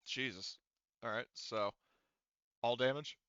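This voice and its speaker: A man's voice: medium pitch, slow, bright, forceful tone, smooth, dull audio. A man running a tabletop game as game master, narrating casually with swearing and jokes. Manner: sweary, joking.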